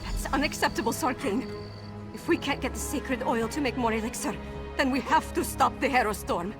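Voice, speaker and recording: A woman's voice: sits high, brisk, music in the background, lightly acted. Woman acting an earnest young fantasy princess. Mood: commanding. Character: determined young princess, burdened but commanding. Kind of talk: fantasy video game voice acting.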